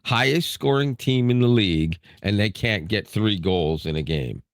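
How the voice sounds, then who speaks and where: A man co-hosting a casual podcast, animated and teasing, talking sports and tech. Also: moderate pace, no room sound, clean studio sound. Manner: animated, teasing, jumps up in pitch when ribbing. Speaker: podcast co-host. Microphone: studio microphone.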